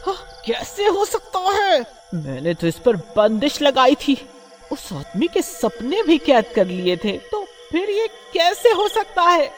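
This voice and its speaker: A woman's voice: high voice, huge pitch swings, slow pace, soft background music, voice pushed up, full character voice. A woman voicing an evil, domineering witch in a horror story, boastful and commanding, with theatrical menace. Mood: shocked, alarmed. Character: evil domineering witch who enslaves souls, boastful, cackling.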